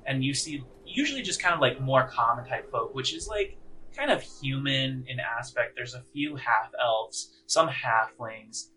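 A man narrating a tabletop game as game master, in a conversational, halting, improvised way. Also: medium pitch, expressive, sharp tone. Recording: audible background noise.

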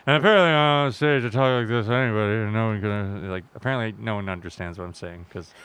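Deep-voiced man, laughing storyteller. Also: fast, clear, resonant tone, no echo. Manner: animated storyteller, laughs often, jumps into high voices for quotes. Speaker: podcast guest, electronic musician. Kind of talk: casual podcast chat.

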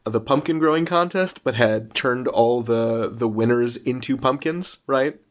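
Man, average pitch, show host, casual interview chat, enthusiastic, descriptive. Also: unhurried.

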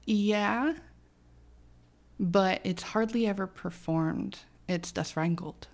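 A woman in her thirties, a singer-songwriter, chatting casually as a podcast guest, self-deprecating and quick to laugh. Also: medium pitch, vocal fry, a little mellow.

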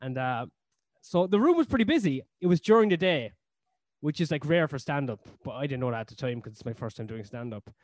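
A man's voice: mid-range voice, expressive, brisk, a little mellow, no room sound. A man with a Cork Irish accent, a comedian telling stories in a casual interview, rambling with lots of fillers and casual swearing. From Ireland. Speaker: comedian guest.

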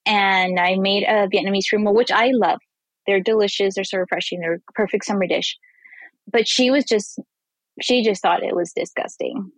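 A woman from Mexico chats as a guest chef, telling her stories with animation and acting out the voices of people she quotes. Her voice sits in an ordinary middle pitch. The recording is dry and close, with no room sound. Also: moderate pace, dry recording, rough recording. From Mexico, raised on the Texas border. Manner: animated storyteller who acts out quoted voices and laughs often. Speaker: vegan chef and food blogger, guest. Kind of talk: casual interview conversation.

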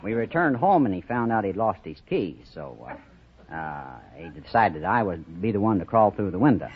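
Halting male contestant, swinging intonation. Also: lowish voice, sing-song. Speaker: quiz show contestant, salesman. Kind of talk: anecdotes and answers on a radio quiz show. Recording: old muffled radio recording, faint noise.

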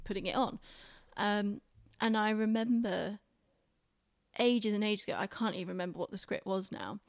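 A woman's voice: medium pitch, gravelly, dry recording. Young woman chatting, close dry sound. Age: young adult. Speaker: podcast speaker, theatre maker. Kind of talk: casual chat, anecdotal.

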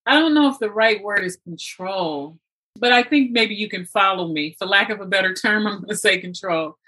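A woman talking reflectively in conversation, thoughtful and sometimes halting as she searches for words. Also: medium pitch, pushed and bright, slightly rough, rough recording. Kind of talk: reflective conversational talk about spirituality.

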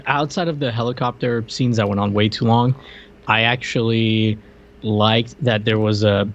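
A man's voice: lowish voice, steady tone, normal speed, muffled recording. A man co-hosting a podcast, discussing films casually in loose, slangy, conversational phrasing. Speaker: podcast co-host.

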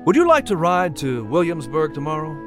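A man voicing a humble, polite young man with an earnest, slightly halting delivery in an audiobook story. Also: fairly high pitch, very animated, music in the background. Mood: fond. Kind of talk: audiobook character dialogue.

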